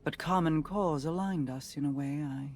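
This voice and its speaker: A woman playing a regal, composed queen in a video game, speaking in a measured, formal, guarded and grave manner. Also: sits low, a little mellow, lightly acted. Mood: grave, reflective. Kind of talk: scripted video game monologue.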